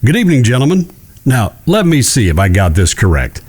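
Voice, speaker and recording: A weary, irritable frontier judge, played by a man in a Western audio drama. He drawls deliberately, with a lively melody and dry condescension. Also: mid-range voice, slightly rough. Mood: dry, courteous. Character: weary, irritable, sardonic Old West circuit judge.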